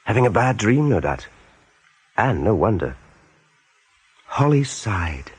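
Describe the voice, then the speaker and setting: A man's voice: lowish voice, big pitch swings, slow, husky, dry recording. A man narrating a radio play story expressively and with suspense.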